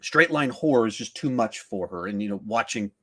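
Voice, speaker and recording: A man's voice: lowish voice, lively intonation, a little fast. A man hosting a podcast in a casual film discussion, thinking aloud in a rambling way with repeated words and restarts. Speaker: podcast host.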